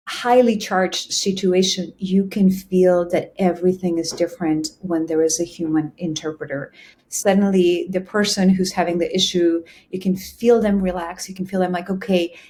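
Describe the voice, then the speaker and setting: A woman guest, president of an interpreters' society, speaking in a measured, emphatic and thoughtful way. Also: mid-range voice, mellow. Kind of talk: conversational interview.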